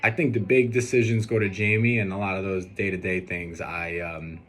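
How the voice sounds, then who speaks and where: A man's voice: low voice, normal speed. A young man recording a casual personal video message, talking in a hesitant, rambling and earnest way. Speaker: groom-to-be answering questions on video. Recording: steady background noise.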